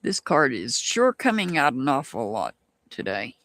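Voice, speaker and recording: This tarot reader has a Southern US accent and talks in an unhurried, drawn-out way, often stopping mid-thought to start over. The voice sounds dry and close, with no room echo, as the reader chats through a live-stream reading. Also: mid-range voice, big pitch swings, fairly bright tone. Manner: slow, musing, restarts often.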